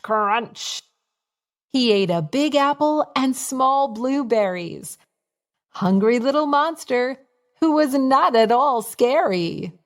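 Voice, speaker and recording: A woman reading a scripted bedtime story for young children in a simple, expressive storytelling manner. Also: a little high.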